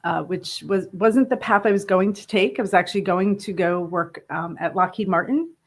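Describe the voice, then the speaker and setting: A woman's voice: mid-range voice, normal speed. A woman in her fifties who grew up in northern New Jersey, chatting easily as an interview guest and laughing often. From northern New Jersey, USA. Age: 50s. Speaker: interview guest, brewery co-owner. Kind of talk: casual interview.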